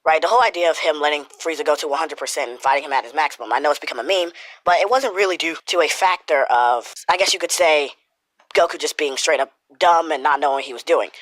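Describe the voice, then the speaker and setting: A man's voice: fairly high pitch, fast, a bit bright, dry recording. A man with a cold and a stuffy nose, rambling through a casual commentary with lots of swearing and filler words. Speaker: YouTuber commentator.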